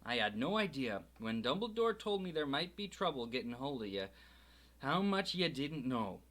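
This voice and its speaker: A man voicing a huge, gruff but kindly giant with rough, folksy speech in an audiobook reading. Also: mid-range voice, big pitch swings, normal speed, fairly bright tone. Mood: low worried.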